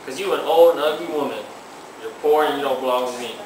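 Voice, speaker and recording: A man's voice: mid-range voice, quick pace, a little mellow, lightly acted. A young man playing a cocky, slangy knight in an amateur student puppet show. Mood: disgusted. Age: teenager. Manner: slangy, flippant. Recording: noisy amateur recording.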